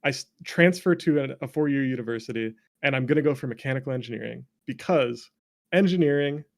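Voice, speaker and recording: A North American man around thirty with a creaky, fry-edged voice at an ordinary pitch. He tells stories in a loose, conversational way, self-deprecating and quick to laugh, with casual swearing. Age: late 20s to early 30s. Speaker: interview guest, game developer.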